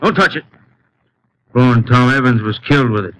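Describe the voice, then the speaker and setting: A man's voice: mid-range voice, animated, normal speed, a bit bright, natural voice. A man playing a grim, terse, folksy rural sheriff in an old radio drama. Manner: terse, folksy drawling speech. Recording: dull, faintly noisy old radio recording.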